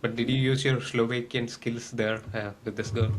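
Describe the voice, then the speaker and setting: A man's voice: mid-range voice, flat delivery, moderate pace, a little hiss or hum. A man co-hosting a casual interview, asking easygoing questions and laughing often. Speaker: host.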